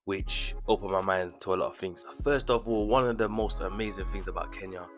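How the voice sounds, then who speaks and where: A young man from the UK talking casually to camera about his time playing football abroad, chatty and animated, with slangy asides to the viewer. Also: low voice, fairly quick, faint music behind. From United Kingdom. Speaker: vlogger and footballer.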